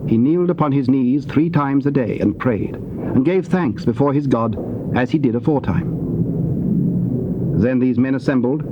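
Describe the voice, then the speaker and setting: A man narrating dramatized scripture in a measured, formal manner. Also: medium pitch. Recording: noisy, dull old cassette recording.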